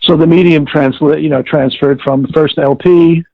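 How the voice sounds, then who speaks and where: An older man being interviewed, recalling past work in a halting, deliberate, wordy way and often correcting himself. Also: fairly high pitch, steady tone, creaky, no echo. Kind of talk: reminiscing interview. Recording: tinny narrowband call, like old radio.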